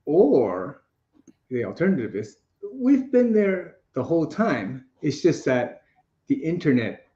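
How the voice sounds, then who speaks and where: A man's voice: a little high, big pitch swings, takes it slowly, mellow. A man co-hosting a casual live chat about multilingual children, rambling and chatty in tone. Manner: chatty, rambling, many fillers and restarts. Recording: dull, roomy audio.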